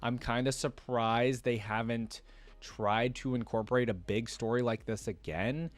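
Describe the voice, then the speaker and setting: Guy doing casual reaction commentary, average pitch, kinda rambly and emphatic, no room echo. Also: fairly even intonation, measured pace. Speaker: reaction video host. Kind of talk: casual unscripted commentary to viewers.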